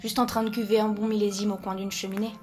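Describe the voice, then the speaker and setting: A woman acting a knowing younger sister from beyond the grave in an audio drama, poised and measured. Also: mid-range voice, level tone, close to an everyday voice. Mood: teasing. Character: teasing, reproachful dead younger sister, imagined grown up. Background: music.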